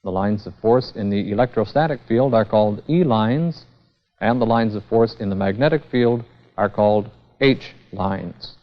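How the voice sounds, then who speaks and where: A man's voice: on the low side, moderate pace. A man giving a formal, methodical scripted technical lecture as the instructor in a vintage educational film. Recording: dull, band-limited vintage 16mm film sound.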